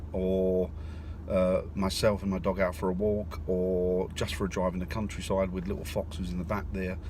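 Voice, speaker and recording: A man from North London chatting casually while driving, rambling with lots of fillers and restarts. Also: low voice. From North London, England. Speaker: vlogger. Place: in a car in the countryside. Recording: car noise in the background. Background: road and car noise.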